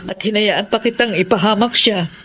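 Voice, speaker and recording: A woman's voice: medium pitch, normal speed, a bit bright, lightly acted. Woman acting a guilt-ridden mother, noisy recording. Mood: regretful. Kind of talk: Filipino radio drama acting. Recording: noisy background, clicks.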